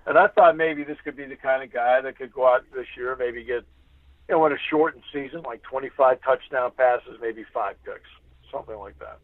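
A man's voice: mid-range voice, brisk, dry recording. A man, a radio sports announcer appearing as a guest, giving conversational analysis and repeating points for emphasis over a phone-like line. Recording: thin dry phone-like line.

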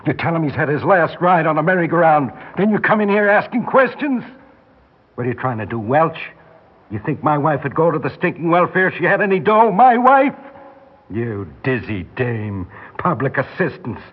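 An actor playing a hostile, slangy condemned prisoner with a gruff American way of talking in a 1960s radio drama. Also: male voice, on the high side, big pitch swings, moderate pace, lo-fi sound. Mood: bitter, angry.

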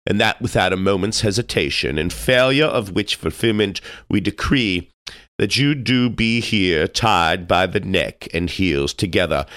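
A man's voice: low-pitched, a bit bright, no echo, studio quality. A man voicing a pompous tyrant king in a storybook, declaiming formally and solemnly.

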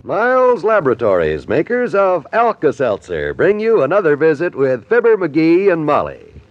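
A man's voice: fairly high pitch, huge pitch swings, moderate pace. A man working as a radio announcer, introducing a show in 1950s broadcast style. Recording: muffled vintage radio, loud music behind.

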